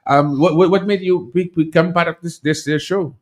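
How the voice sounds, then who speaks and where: A man's voice: a little high, steady tone, moderate pace, darkish tone. A man with a Dominican accent hosting a casual radio interview in a studio, talking in stumbling, repeated phrases. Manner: chatty, stumbling, repeats words. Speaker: radio host.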